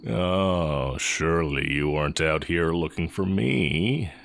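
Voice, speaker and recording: A man acting as a sly, coolly teasing heretic magic teacher in an intimate audio roleplay, musing and taunting with drawn-out pauses. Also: extremely low pitch, vocal fry, exaggerated voice. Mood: teasing, suspicious.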